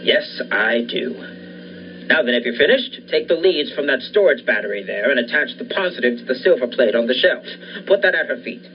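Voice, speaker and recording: A man's voice: medium pitch, expressive, a little fast, lightly acted. A young man playing an obsessed, commanding scientist and surgeon in the style of a 1940s radio thriller. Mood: proud, assured. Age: young adult. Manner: commanding, insistent. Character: obsessed scientist surgeon bent on reviving his dead wife. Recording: dull lo-fi audio, faint noise.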